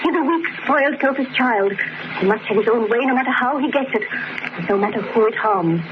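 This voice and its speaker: A woman's voice: fairly high pitch, expressive, fairly quick, natural voice. Woman playing pleading dutiful wife in old radio drama, proper and earnest, super noisy muffled old recording. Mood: resolute, earnest. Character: long-suffering, earnest, dutiful young wife.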